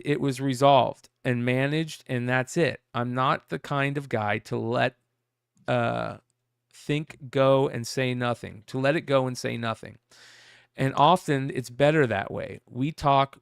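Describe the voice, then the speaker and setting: A man's voice: mid-range voice, vocal fry, smooth, no echo, studio quality. A man hosting a casual comic news talk show, rambling and reading out a message in his plain voice. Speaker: host.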